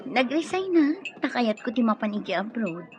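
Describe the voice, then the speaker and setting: A woman's voice: a little high, sing-song, normal speed, a little mellow, slight background noise. A coy, scheming young Ilocano woman. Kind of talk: emotional radio drama acting. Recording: dull lo-fi radio sound, music behind.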